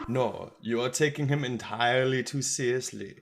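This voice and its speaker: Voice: mid-range voice, normal speed, lightly acted. Storybook role: boozy, round-bellied old German mystic, acted by a narrator, thick accent, lilting and jovial. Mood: amused. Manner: tipsy lilt.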